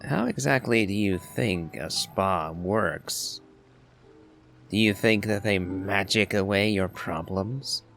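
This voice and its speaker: A man giving casual game commentary as a streamer, in a musing, wry and measured way. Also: lowish voice, vocal fry, a bit bright, faint music behind. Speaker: gaming streamer.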